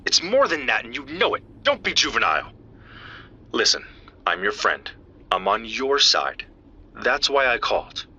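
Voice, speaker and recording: A man's voice: mid-range voice, huge pitch swings, moderate pace, long pauses, sharp tone, breathy edge, muffled recording, exaggerated voice. An actor playing a worried man who calls his friend and urgently pleads with him to stop. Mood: earnest. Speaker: caller. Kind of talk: audio drama acting.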